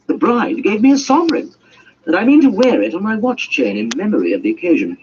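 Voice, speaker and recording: A man's voice: high voice, big pitch swings, fairly quick, darkish tone. A man reading a story as a theatrical audiobook narrator in a dramatic, scripted style. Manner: theatrical, voices dialogue. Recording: muffled, noisy amateur audiobook recording.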